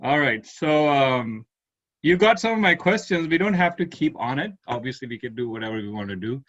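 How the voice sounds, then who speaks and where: A man's voice: medium pitch, expressive, fairly bright tone. Chatty male interviewer, muffled. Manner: chatty, laughing, quick follow-up questions. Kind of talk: casual interview. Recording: dull lo-fi sound.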